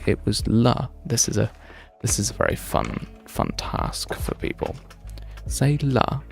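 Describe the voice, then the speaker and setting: A man's voice: fairly low pitch, creaky. A young man in his twenties, chatting casually and thinking aloud on a game livestream, drifting between tangents. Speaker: game streamer. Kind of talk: casual livestream chat while playing.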